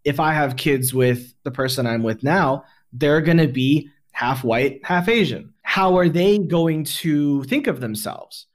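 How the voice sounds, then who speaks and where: Higher-pitched male podcast host. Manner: explanatory, talks at length. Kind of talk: conversational podcast discussion.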